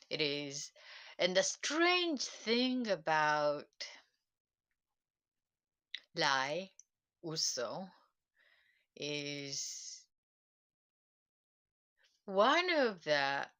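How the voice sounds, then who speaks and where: Slow, halting Japanese-accented woman. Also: low-pitched, very animated, fairly bright tone. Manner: halting, searching for words, many pauses. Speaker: self-help channel host. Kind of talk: reflective solo livestream talk.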